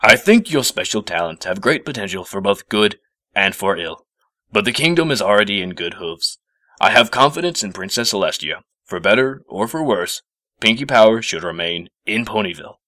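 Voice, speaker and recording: A man voicing a stiff male professor pony with a gruff, formal, slightly sheepish manner in a storybook reading. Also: lowish voice, animated, moderate pace, sharp tone, no room sound. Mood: solemn.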